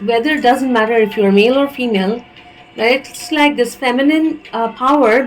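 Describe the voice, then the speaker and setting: A fairly high-pitched woman with an Indian accent gives a slow, searching tarot reading, often pausing and restarting her sentences. Soft music plays quietly behind her voice. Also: poor audio. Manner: hesitant, many fillers and restarts. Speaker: tarot reader.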